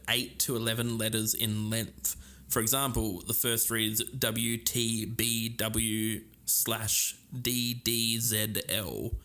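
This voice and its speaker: Lowish man co-hosting a podcast. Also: bright, forceful tone. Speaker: podcast co-host. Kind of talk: scripted investigative podcast narration.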